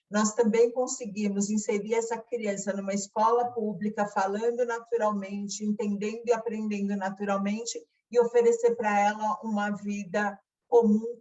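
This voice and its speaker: A woman's voice: mid-range voice, darkish tone. A Brazilian woman explaining a company's work in a presentation style, recorded at a distance from the microphone.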